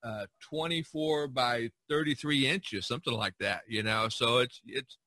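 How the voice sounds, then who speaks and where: Man, podcast host, bright voice, rambling storyteller, casual chat, dry sound. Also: medium pitch, a little slow, dry recording. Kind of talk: casual discussion of art history.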